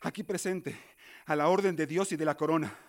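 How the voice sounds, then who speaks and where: A man's voice: on the high side, brisk, natural voice. A man acting in a radio drama as a stern, prudent uncle and guardian, a colonial-era lawyer with a formal, paternal manner. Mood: formal, firm.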